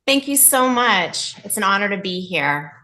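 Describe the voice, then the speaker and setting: A woman from New England, a nurse and podcast guest, telling her life story in an earnest, open way with a loud voice. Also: medium pitch, expressive, normal speed.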